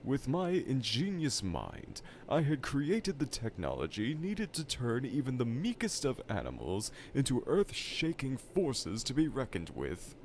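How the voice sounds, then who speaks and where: A man's voice: mid-range voice, wildly swooping pitch, measured pace, hardly any pauses, a little mellow. A man playing a dejected, pompous evil scientist villain from a cartoonish game, delivering a theatrical, self-pitying roleplay monologue to the listener. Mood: proud, nostalgic. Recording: clear background laboratory ambience noise.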